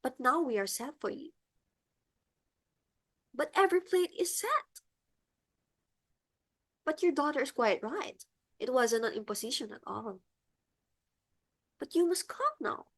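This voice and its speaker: A woman's voice: sits high, lively intonation, a little slow, long pauses, a bit bright, heavily acted. A woman playing a flustered, imperious society mother in a stage comedy, with emphatic, theatrical exclamations. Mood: gushing, insistent. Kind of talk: comedic stage-play acting.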